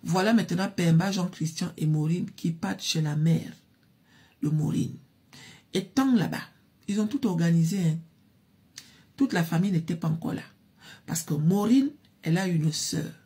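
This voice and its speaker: A woman's voice: lowish voice, moderate pace, long pauses, warm tone, breathy edge. A woman narrating a story in a chatty, casual way, talking directly to her listeners. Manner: chatty, addresses listeners as "mes chéris".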